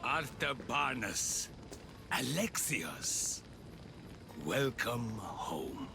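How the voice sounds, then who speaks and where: An actor playing a cold, older male villain in a video game, gravelly and deliberate, speaking with calm menace. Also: mid-range voice, heavily acted. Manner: slow, deliberate, calmly menacing. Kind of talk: dramatic video game acting. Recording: noisy, with ambient sound behind.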